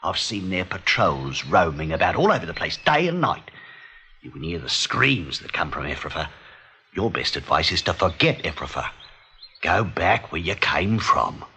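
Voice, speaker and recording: A man's voice: low-pitched, big pitch swings, normal speed, sharp tone, a little hiss or hum, heavily acted. An actor playing a nervous, talkative hare in a radio play, friendly and emphatic in his warnings. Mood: dark warning. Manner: emphatic, jittery.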